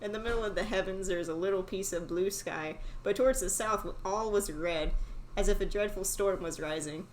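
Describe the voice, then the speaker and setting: A woman's voice: mid-range voice, a little fast, poor audio. A woman reading a fairy tale aloud, casually, with sweary asides of her own. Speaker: story reader. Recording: faint background noise.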